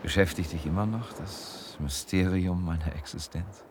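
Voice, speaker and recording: An actor playing a reflective, world-weary wanderer in a radio drama, measured and reserved. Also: male voice, low-pitched, background noise. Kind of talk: German radio drama acting.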